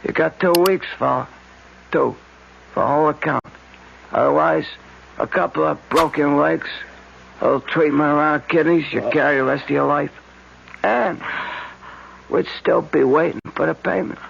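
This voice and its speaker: An actor playing a menacing gangland debt collector with tough, threatening street talk. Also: male voice, fairly high pitch, normal speed, vocal fry, pauses often, a bit bright, dull audio, slight background noise. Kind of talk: 1970s radio drama acting.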